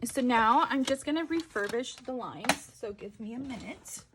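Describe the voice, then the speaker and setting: A woman's voice: a little high, animated, normal speed, very noisy. A woman giving a casual step-by-step craft tutorial, informal, correcting herself and thinking aloud as she goes.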